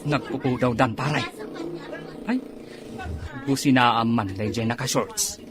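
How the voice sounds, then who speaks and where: A man with an Ilocano accent playing a lazy, girl-chasing, henpecked husband in an amateur comedy drama, with a husky voice. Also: mid-range voice, slow, crowd noise, background noise, lightly acted. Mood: excited, leering. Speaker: actor in comedy skit.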